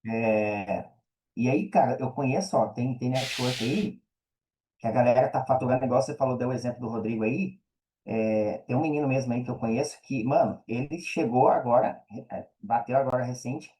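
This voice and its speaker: A Brazilian man with a mellow, relaxed tone and a medium pitch chats casually as on a podcast. His speech is slangy and conversational, often doubling back on a phrase for emphasis. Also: normal speed, rough recording.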